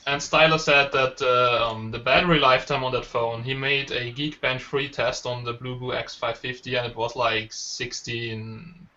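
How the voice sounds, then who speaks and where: A man's voice: mid-range voice, fairly even intonation, normal speed, hardly any pauses, pushed and bright. A man hosting a casual online group chat about tech, speaking conversationally as the host. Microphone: online voice call. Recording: muffled online call, faint noise.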